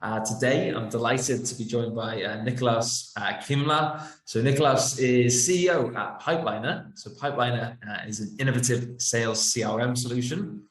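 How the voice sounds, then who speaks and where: A man's voice: mid-range voice, normal speed, few pauses. A man hosting a tech podcast with a mid-range voice. He speaks politely, with a scripted introduction and some fillers. The room around him is echoey. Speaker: podcast host. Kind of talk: interview hosting.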